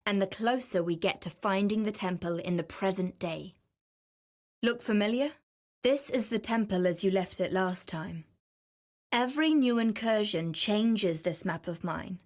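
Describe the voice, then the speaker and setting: A woman playing a keen, wry explorer and ritualist in a video game, speaking in a measured, confiding, dryly playful way. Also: mid-range voice, natural voice. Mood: confiding, scheming. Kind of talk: scripted video game voice acting.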